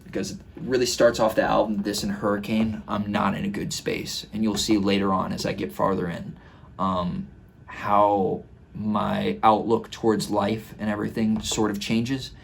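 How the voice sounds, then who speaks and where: A man in his twenties talking casually and reflectively, explaining his work in a halting way, recorded in a small home room. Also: on the low side, little pitch movement, creaky, a little hiss or hum. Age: 20s. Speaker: musician and host breaking down own song. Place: small home studio bedroom indoors.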